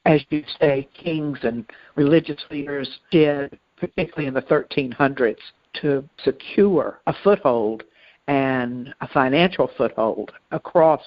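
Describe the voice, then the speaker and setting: A dry, somewhat muffled podcast recording of a woman co-host. She talks reflectively and earnestly, choosing her phrases deliberately and often haltingly, in a conversational discussion. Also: very deep voice, no echo.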